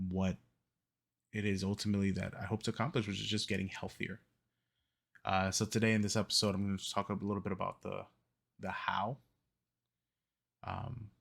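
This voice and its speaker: Low gravelly man, rambling vlogger. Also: a bit bright. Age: 30s. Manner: rambling, many ums and self-corrections. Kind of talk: casual fitness vlog talk.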